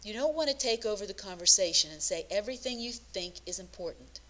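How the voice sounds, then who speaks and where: Woman career coach reading a scripted how-to lesson, mid pitched voice, measured and instructive. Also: animated, fairly bright tone, poor audio.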